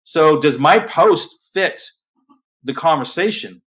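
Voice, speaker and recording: Man, monotone talker, fillers and restarts, video call host. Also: a little high, takes it slowly. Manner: self-described monotone, filler-heavy, restarts. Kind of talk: casual panel discussion.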